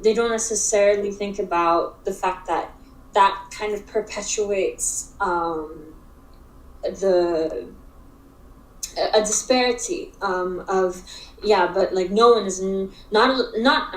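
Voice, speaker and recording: Young woman from africa chatting in an interview, lots of likes and restarts, sounds kinda roomy. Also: mid-range voice. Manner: halting, filler-heavy speech with frequent restarts. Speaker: interview guest, digital art expert. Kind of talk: casual conversational interview. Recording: roomy echo.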